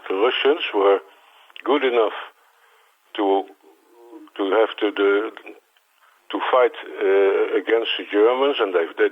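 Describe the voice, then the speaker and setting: A man in his sixties from the Netherlands with a Dutch accent, a native Dutch speaker, answering interview questions in a halting way, repeating words and restarting often. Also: lowish voice. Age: 60s. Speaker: interview guest. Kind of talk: phone interview. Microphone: telephone. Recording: tinny phone line.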